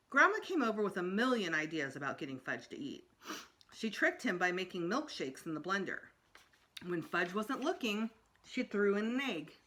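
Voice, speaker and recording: A woman reading a children's story aloud as storytime narrator, expressive, with emphatic pauses. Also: mid-range voice. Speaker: storytime reader.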